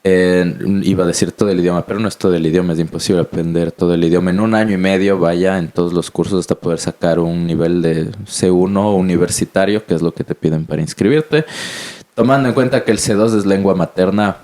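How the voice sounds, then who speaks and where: A man's voice: deep voice, quick pace, darkish tone. A young man from Quito with an Ecuadorian accent, co-hosting a podcast in a rambling, chatty way that keeps correcting itself. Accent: Ecuadorian Spanish, from Quito. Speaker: podcast co-host. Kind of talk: casual chat.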